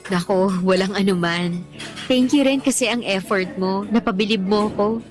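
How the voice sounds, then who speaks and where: Actress as candid, talkative woman teacher and single mother, casual radio drama delivery, mid pitch, music bed. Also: moderate pace, close to an everyday voice. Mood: cheerful. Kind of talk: Tagalog radio drama dialogue. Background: background music.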